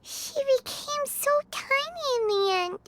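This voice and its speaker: Tiny fairy sidekick: extremely high, squeaky, lilting and sweet, some background noise. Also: female voice, animated, a little slow. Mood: wondering. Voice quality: squeaky, childlike. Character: tiny, childlike floating fairy companion, curious and sweet. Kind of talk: fantasy game dialogue.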